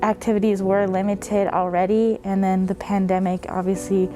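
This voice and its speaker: A young woman from California, a student, answering interview questions in an even, matter-of-fact way. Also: medium pitch, normal speed. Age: young adult. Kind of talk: documentary interview. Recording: music bed under the voice.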